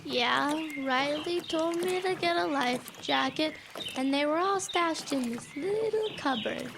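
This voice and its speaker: A woman's voice: sits high, lively intonation, unhurried, vocal fry, noisy background, heavily acted. A young female actor playing a sleepy, guileless small child in an audio drama. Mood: drowsy, curious. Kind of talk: youth audio drama acting.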